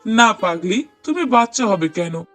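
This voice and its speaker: Cartoon young husband, acted by a man, high-pitched male voice, doting, earnest, tender, music underneath. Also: sing-song, unhurried, fairly bright tone, heavily acted. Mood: tender, reassuring. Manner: earnest, tender, emphatic repetition. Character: affectionate young husband, delighted father-to-be, gentle and doting. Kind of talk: cartoon dialogue acting. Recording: voice over prominent music bed.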